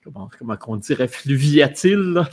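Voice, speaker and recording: A man's voice: medium pitch, expressive, normal speed, a little mellow. A man hosting a podcast interview, musing with many hesitations. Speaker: podcast host. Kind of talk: interview conversation.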